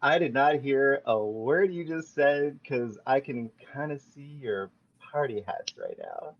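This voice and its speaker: A man reading a smug, slow-talking flirt. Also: medium pitch, big pitch swings. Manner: sleazy, self-satisfied flirting. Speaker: podcast host reading a script. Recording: dull lo-fi sound.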